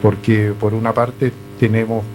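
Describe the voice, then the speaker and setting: An older man from Chile with a Chilean accent, speaking as a panel guest in a measured, hesitant way full of fillers. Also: lowish voice, flat delivery, noisy background. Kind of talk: reflective conversation. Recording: music playing underneath.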